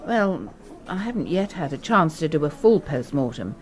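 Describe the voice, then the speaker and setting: A woman's voice: sits low, very animated, moderate pace. A woman acting in a radio crime drama as a police doctor, courteous, frank and clinical. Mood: matter-of-fact. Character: brisk, matter-of-fact female police doctor.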